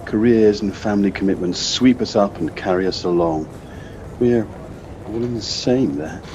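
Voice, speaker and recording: A man playing a concerned, reasonable male companion in an audio play, speaking in a considerate, gently questioning way. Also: on the low side, moderate pace, lightly acted. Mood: musing. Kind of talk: audio play acting, naturalistic dialogue. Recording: dull lo-fi device recording, faint noise.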